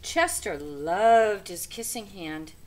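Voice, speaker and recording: A middle-aged or older woman reading a children's story aloud in an expressive, deliberate way. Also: medium pitch. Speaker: storybook reader. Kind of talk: children's read-aloud narration. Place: small indoor room with bookshelf.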